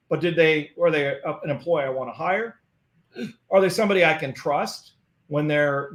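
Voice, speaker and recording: A man's voice: on the high side, a bit bright, echoey room. A man talking as a guest in a casual interview, earnest and often repeating words as he starts a thought. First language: English.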